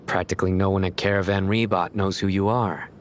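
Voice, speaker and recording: A man playing a grave, methodical law enforcer in a video game, formal and deliberate in his speech. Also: low voice, little pitch movement, a little hiss or hum, lightly acted. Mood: grave, analytical. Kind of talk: scripted video game voice acting.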